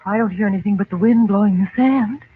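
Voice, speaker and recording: A woman's voice: medium pitch, quick pace, lightly acted. A woman playing an anxious, refined mother in an old-time radio drama, dramatic and fretful. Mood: fearful. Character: anxious, refined mother searching for her missing husband. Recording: muffled, hissy old radio recording.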